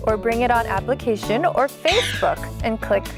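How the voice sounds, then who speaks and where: Bubbly woman TV host, fairly high voice, soft music underneath. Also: lively intonation, moderate pace. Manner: bubbly, laughs often. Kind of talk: upbeat TV show hosting.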